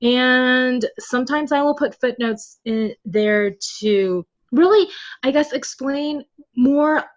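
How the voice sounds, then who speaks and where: A chatty woman speaking casually as an interview guest, quick to laugh, explaining her work as a translator. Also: sits high, highs cut off. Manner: chatty, laughs readily while talking. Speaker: podcast guest, web novel translator.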